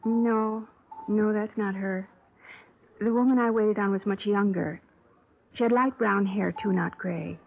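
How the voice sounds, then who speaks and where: An actress playing a cooperative shop clerk witness, recalling details hesitantly, in a 1950s radio drama. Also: female voice, mid-range voice, fairly even intonation, measured pace, creaky, a little hiss or hum. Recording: tinny old radio broadcast.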